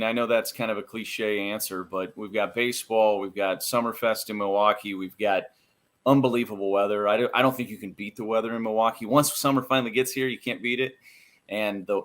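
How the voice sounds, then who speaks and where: Bright-voiced American man telling stories. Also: on the low side. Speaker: interview guest, radio play-by-play announcer. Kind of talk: casual, anecdotal podcast chat.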